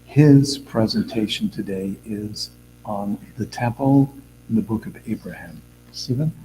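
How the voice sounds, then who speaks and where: Low-voiced man introducing, humming background. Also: unhurried. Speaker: conference host introducing speakers. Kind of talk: informal spoken introduction. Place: indoor conference room. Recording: noticeable background hum.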